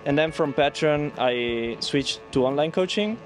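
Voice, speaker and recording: A young man from Italy with an Italian accent, explaining in simple phrases with "uh" pauses into a handheld mic. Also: fairly high pitch, animated, faint music behind, low-quality recording. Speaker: breakdance teacher, interviewee. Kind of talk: casual interview. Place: indoor café.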